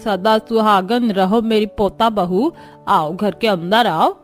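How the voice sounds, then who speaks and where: A woman's voice: medium pitch, takes it slowly, soft background music, natural voice. A woman voicing a kindly, trusting old grandmother-in-law in a cartoon, affectionate and full of blessings. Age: older.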